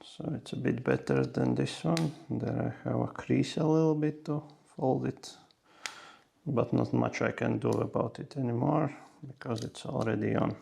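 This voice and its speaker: A man's voice: mid-range voice, animated, moderate pace, creaky, warm tone, slightly rough. A man talking casually through a hands-on tutorial step by step, with non-native English phrasing. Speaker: host of a motorcycle gear how-to video.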